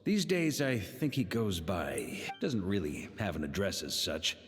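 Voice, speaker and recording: Deep, hearty knight commander. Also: male voice, sing-song, a little slow, slight background noise. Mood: genial. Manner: commanding yet jovial. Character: hearty, confident middle-aged male knight grandmaster. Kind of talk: video game acting.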